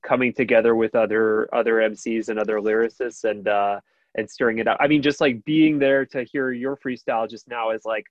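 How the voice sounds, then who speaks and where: A radio host with a high, nasal voice talks in a loose, rambling way, full of fillers and restarts. His voice sounds dry and close, with a dull, lo-fi quality. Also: fairly even intonation, a little fast, no echo. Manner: rambling, many fillers and restarts, laughs easily. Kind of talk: casual interview chat.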